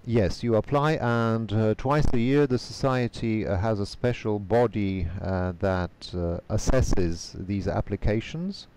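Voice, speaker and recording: Polish-native male interpreter guest, low voice, measured answers, video call. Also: darkish tone, a little hiss or hum. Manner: measured, careful, frequent hesitation fillers.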